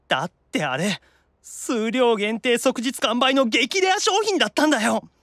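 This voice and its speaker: High-pitched, excitable boyish anime demon. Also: male voice, no room sound, studio quality, heavily acted. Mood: gushing, sulky. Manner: animated, reactive, fast-gushing fan talk. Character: excitable boyish otaku demon brother, soft-hearted fanboy. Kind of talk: Japanese anime-style audio drama acting.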